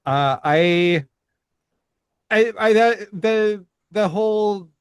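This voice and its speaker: A middle-aged man co-hosting a casual podcast, speaking in halting phrases with many restarts and stammers. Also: high voice, smooth, no room sound, studio quality. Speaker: podcast co-host. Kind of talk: casual chat.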